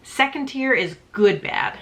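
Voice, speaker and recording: Bubbly, bright-voiced woman vlogger. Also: fairly high pitch, lively intonation, a little slow. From Canada. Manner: bubbly, jokey, self-mocking, laughs often. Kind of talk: casual snack review vlog. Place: her home.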